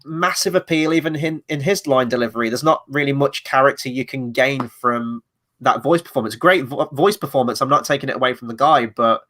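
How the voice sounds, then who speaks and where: A man's voice: mid-range voice, a little fast, bright, forceful tone. A man hosting a casual review chat, a little stuffy from a cold, rambling through his opinions with fillers. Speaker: podcast host.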